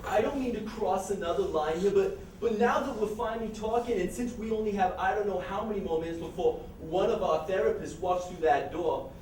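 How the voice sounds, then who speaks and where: A man's voice: sits high, fairly quick, few pauses, darkish tone, lightly acted. An actor playing an earnest, neurotic therapy patient in a comic stage play, awkward and hesitant as he confesses his feelings. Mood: nervous, smitten. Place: stage performance at a college, distant microphone. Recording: echoey hall from far off, noisy.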